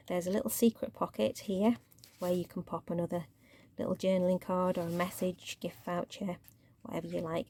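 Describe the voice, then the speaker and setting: A woman with a mellow, slightly croaky voice talks her viewers through a handmade craft in a relaxed, chatty way. Her voice sometimes drops to a near-whisper as she works. The sound is dry and close. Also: on the low side, no echo. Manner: chatty, relaxed show-and-tell, drops to near-whisper while handling paper. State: croaky voice, clearing throat. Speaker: crafting channel host. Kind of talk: casual craft tutorial.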